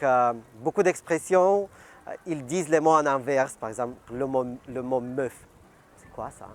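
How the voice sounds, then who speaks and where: Higher-voiced Bulgarian-accented man. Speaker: interviewee. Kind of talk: documentary interview.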